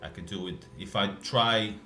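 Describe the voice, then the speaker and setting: A man with a Russian accent, live streaming and chatting casually with viewers, speaking in a deliberately lazy, relaxed way. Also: fairly low pitch, reverberant, faint music behind. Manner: intentionally lazy, relaxed way of talking.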